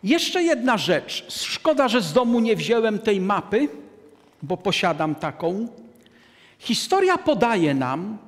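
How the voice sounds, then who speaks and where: A man in his sixties giving a deliberate, emphatic lecture to an audience from a lectern in a large hall. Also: high-pitched, very animated. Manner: deliberate, emphatic, gestures at a map. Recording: long hall reverb.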